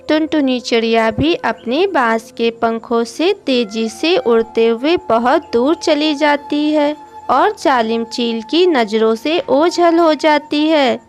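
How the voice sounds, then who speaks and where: Female storyteller for children's cartoons: high voice, measured slow reading, light music bed. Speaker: narrator. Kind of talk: scripted children's story narration. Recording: music behind the voice.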